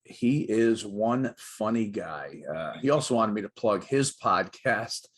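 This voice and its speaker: A man's voice: lowish voice, lively intonation, slow. A middle-aged or older man co-hosting a sports show, presenting with light banter.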